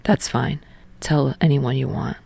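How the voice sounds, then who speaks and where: A woman voicing a tall, calm young man, dry and teasing but quietly smitten, in a soft, understated audiobook reading. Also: a bass voice, gravelly, breathy edge, no echo, pitched below the performer's own voice, exaggerated voice. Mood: soft, fond. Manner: understated, measured.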